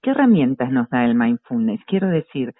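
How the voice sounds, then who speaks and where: A woman's voice: rumbling low, very animated, moderate pace. A woman hosting a conversational radio interview in an informal way, asking questions and murmuring along as she listens. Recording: dull lo-fi radio sound.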